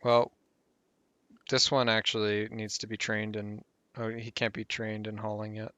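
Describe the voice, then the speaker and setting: A man's voice: on the low side, unhurried, no room sound. Man with a tired, fading voice. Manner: relaxed, rambling, trails off with fillers. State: losing his voice. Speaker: gameplay guide host. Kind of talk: casual game commentary.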